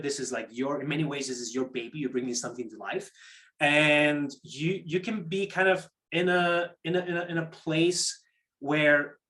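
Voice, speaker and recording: Male workshop presenter, somewhat high-pitched, conversational and explanatory, with repeated words, restarts and frequent check-in tags. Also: fairly bright tone. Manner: conversational, seeks agreement with tag questions. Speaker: program manager presenting a workshop. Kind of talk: interactive online workshop talk on pitching.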